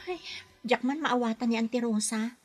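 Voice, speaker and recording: A woman's voice: a little high, normal speed, breathy edge, soft background music. A woman playing a nervous young nanny who is newly abroad in a radio drama, speaking hesitantly and with a flustered manner. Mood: resigned. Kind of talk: Ilocano radio drama acting.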